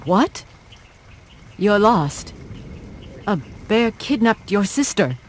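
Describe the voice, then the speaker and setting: A woman playing a grave older wise woman in a fantasy game, speaking with deliberate weight. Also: medium pitch, lively intonation, slight background noise. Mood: concerned, instructing. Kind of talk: video game character acting.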